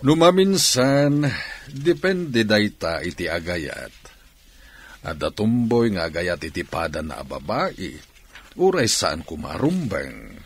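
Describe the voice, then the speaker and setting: An actor with an Ilocano accent playing a knowing, amused boss in a radio drama. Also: male voice, sits low, very animated, slow pace, breathy edge, exaggerated voice. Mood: explaining. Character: male boss chatting knowingly about matters of love. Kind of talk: Filipino radio drama acting.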